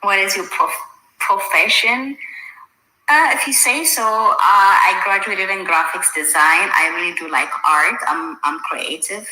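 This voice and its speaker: A woman's voice: medium pitch, a little slow, bright, forceful tone. A woman from Ethiopia with an Ethiopian accent and Amharic as her first language, chatting casually to her livestream viewers and thinking aloud as she goes. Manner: informal, thinking aloud, addressing viewers with endearments. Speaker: social media livestreamer. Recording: roomy, echoey sound.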